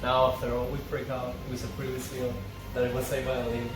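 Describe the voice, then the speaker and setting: Echoey, halting man reading a script aloud. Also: mid-range voice, completely flat delivery. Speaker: table read host. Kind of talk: amateur script read-aloud with stage directions. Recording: echoey room, distant mic, music behind.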